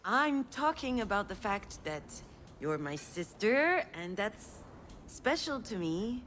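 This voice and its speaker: An actress playing a self-deprecating, conciliatory sister in earnest, conversational game dialogue. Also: female voice, medium pitch, very animated, slow, a bit bright, slight background noise.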